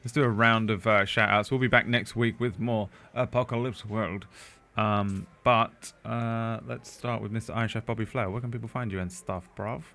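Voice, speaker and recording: A man's voice: lowish voice, fairly even intonation, normal speed, bright, forceful tone. A man hosting the end of a group game stream in casual chat. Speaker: show host and player. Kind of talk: casual chat, sweary sign-off.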